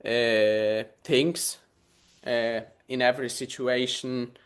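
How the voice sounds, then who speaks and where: A man's voice: medium pitch, fairly even intonation, slow pace, clean tone. A young man from Poland, a Polish native speaker, answering interview questions hesitantly with many fillers and easy laughs. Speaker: guest.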